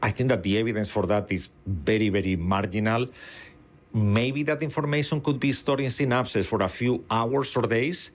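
A middle-aged man with a Spanish accent speaks in a fairly low voice. He is a native Spanish speaker, answering as a scientist in an interview, explaining in an opinionated way. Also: steady tone, normal speed. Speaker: interviewed neuroscientist.

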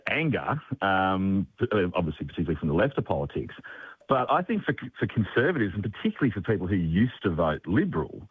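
A man's voice: low-pitched, husky, no echo. A male political consultant giving analysis on talk radio, hesitant, with many fillers and restarts. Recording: tinny phone line.

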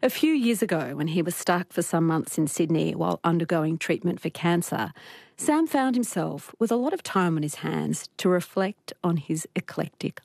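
A woman's voice: lowish voice, gravelly, a little mellow, no room sound, clean studio sound. A woman hosting a radio interview programme, introducing and questioning her guest.

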